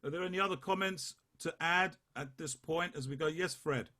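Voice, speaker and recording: A man leading a choir rehearsal, coaching the basses and singing short phrases to demonstrate. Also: bright, forceful tone. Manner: unhurried, sings phrases to demonstrate. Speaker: choir leader.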